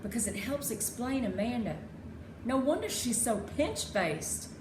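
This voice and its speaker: A woman's voice: on the high side, expressive, unhurried, pitched above the performer's own voice. A woman voicing an earnest, stubborn and curious young girl in a children's storybook. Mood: curious, insistent. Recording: echoey room with clear background noise.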